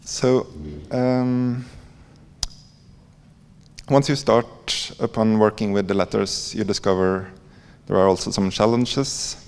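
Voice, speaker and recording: Norwegian guy doing a tech talk, lots of vocal fry, kinda halting, big echoey room. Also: fairly low pitch, fairly even intonation, a little mellow. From Norway. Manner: hesitant, halting delivery with frequent pauses. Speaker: conference presenter, library coder. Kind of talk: informal conference presentation. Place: conference hall. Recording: echoey hall with long reverb.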